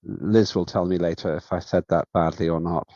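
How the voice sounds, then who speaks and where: Low-voiced man chairing a parliament. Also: steady tone, mellow, dry recording. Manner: measured, halting with many fillers. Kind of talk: formal remarks at an online session. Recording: dull, dry lo-fi sound.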